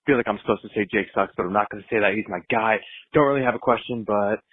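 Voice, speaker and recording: A man from California rattles off a casual fan voicemail in a lowish, husky voice. The phone recording is muffled and lo-fi. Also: racing, no room sound. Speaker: voicemail caller.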